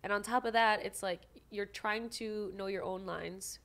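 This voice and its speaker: Animated young woman from Maui. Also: medium pitch. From Maui, Hawaii. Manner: animated, quick storytelling with restarts. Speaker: actress guest. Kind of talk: casual podcast interview.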